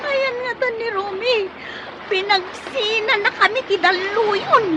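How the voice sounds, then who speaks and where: A woman's voice: extremely high pitch, takes it slowly, noisy background. An actress with an Ilocano accent playing a frantic, bossy woman in danger, urgent and scolding, in a radio drama. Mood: panicked, straining. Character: frantic, bossy woman fleeing a sinking ferry.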